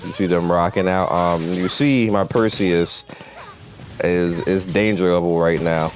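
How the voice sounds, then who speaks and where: A man's voice: low voice, steady tone, measured pace. A man hosting a gaming channel, giving casual, off-the-cuff commentary in a blunt, opinionated way over gameplay. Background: game music.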